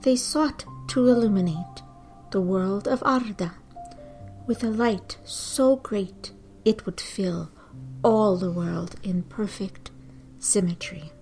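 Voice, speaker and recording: A woman narrating scripted mythology in a dramatic, deliberate storytelling manner with measured pauses. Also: medium pitch, darkish tone, faint music behind. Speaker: podcast host and narrator.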